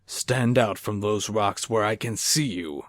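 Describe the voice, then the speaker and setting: A man's voice: lowish voice, a little slow, no room sound, clean studio sound, close to an everyday voice. A man playing a haunted, defiant scientist in a horror radio play, with an earnest, theatrical delivery. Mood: anguished, vengeful. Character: anguished, haunted scientist and new husband, defiant. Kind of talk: amateur radio-play style horror acting.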